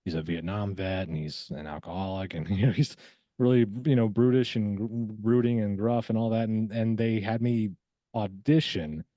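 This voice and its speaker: Male podcast guest, low pitch, very dry close sound, halting and reflective casual delivery. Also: fairly even intonation, warm tone, no room sound. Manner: halting, reflective, repeats words. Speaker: voice actor guest.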